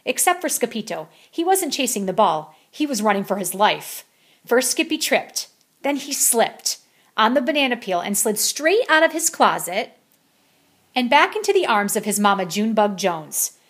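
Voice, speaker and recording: A woman's voice: fairly high pitch, sing-song, normal speed, fairly bright tone, breathy edge. A woman reading a children's picture book aloud as a storyteller.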